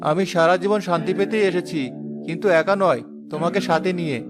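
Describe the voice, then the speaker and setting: Horror cartoon lead: male actor as haunted young son, fatalistic, high voice over music. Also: normal speed, natural voice. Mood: grim resolve.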